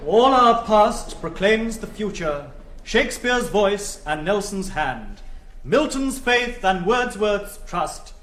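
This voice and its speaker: Earnest English schoolboy announcer, high voice, declamatory, animated, echoing room. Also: male voice, slow pace, a little hiss or hum, full character voice. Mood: earnest, proclaiming. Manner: declamatory, formal. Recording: roomy hall echo.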